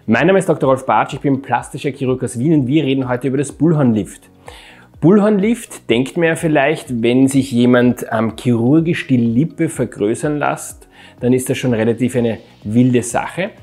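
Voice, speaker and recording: A man in his forties speaks with an Austrian accent at a middling pitch. He presents as a doctor talking to viewers, explaining step by step in an informal, instructive manner. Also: moderate pace, a little mellow, slightly rough, faint music behind. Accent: Austrian German. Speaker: plastic surgeon and video presenter. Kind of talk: explanatory presentation to camera and surgery commentary. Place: small bright office indoors.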